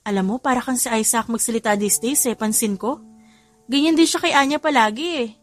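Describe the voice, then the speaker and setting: A woman's voice: fairly high pitch, a little fast, faint music behind, natural voice. A woman with a Filipino accent voicing a playful, affectionate young mother in a romance audiobook. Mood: suspicious, teasing.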